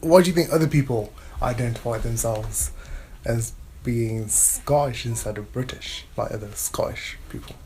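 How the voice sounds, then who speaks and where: A man's voice: mid-range voice, moderate pace, few pauses, darkish tone, slight background noise, poor audio. A man interviewing as a student, asking questions and offering short acknowledgements. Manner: brief, encouraging acknowledgements.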